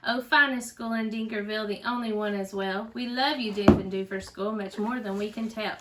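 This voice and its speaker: A woman voicing excitable schoolchildren from a storybook, exclaiming and chanting. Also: mid-range voice, fairly quick, natural voice. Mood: gleeful.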